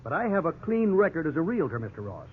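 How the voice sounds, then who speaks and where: American real estate agent, 1950s radio drama: slightly high voice, hedging and self-justifying, thin noisy vintage sound. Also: male voice, very animated, fast talker, phone-quality sound. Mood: reluctant, hedging. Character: defensive but conciliatory male real estate agent.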